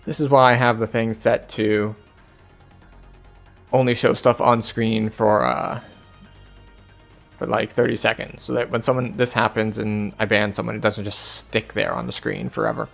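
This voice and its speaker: A man's voice: fairly low pitch, level tone, moderate pace, vocal fry, soft background music. A man streaming a game, making offhand, joking asides as he reads along. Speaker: livestreamer playing a game. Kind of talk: casual commentary over gameplay.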